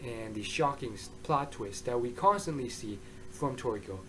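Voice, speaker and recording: A man giving a casual, rambling review monologue, hoarse and excitable, often restarting his sentences. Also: medium pitch, normal speed, slight background noise. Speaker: manga reviewer.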